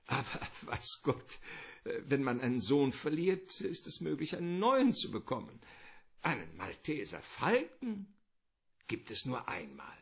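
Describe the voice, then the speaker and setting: An actor playing a wordy, courteous, calculating middle-aged crime boss in a radio detective drama, with theatrical politeness. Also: male voice, mid-range voice, very animated, a little slow, a bit bright, husky, heavily acted. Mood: mock regretful.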